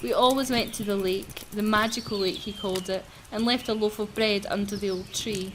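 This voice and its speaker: A woman's voice: mid-range voice, fairly even intonation, normal speed. A woman hosting a let's play, chatting casually and reading game text aloud in a chatty, giggly manner. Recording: clear background game noise.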